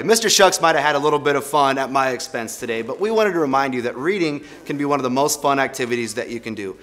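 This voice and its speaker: A man's voice: mid-range voice, fairly bright tone. Young American man hosting playful story time. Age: young adult. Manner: theatrical mock exasperation and reluctance. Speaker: baseball team presenter. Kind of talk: playful children's story time read-aloud. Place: indoor studio with plain backdrop.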